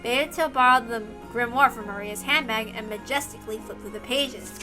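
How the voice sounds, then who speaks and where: A woman's voice: fairly high pitch, normal speed, music in the background. A woman reading a story aloud as scripted narration. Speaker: reader.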